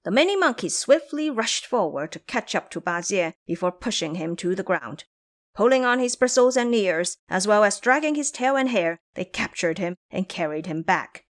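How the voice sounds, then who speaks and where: Animated woman storyteller. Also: mid-range voice, moderate pace. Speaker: narrator. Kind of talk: dramatic scripted storytelling.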